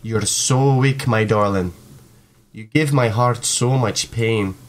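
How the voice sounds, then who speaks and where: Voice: medium pitch, slow, voice pushed down, lightly acted. A performer voicing a domineering, possessive mother who belittles her child in a visual novel. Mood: reproachful, possessive.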